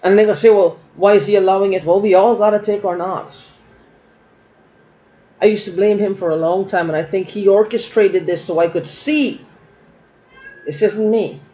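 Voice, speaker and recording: A woman's voice: fairly low pitch, moderate pace, mellow. A woman vlogging in a rambling, aggrieved monologue, circling back and repeating herself. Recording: dull lo-fi recording.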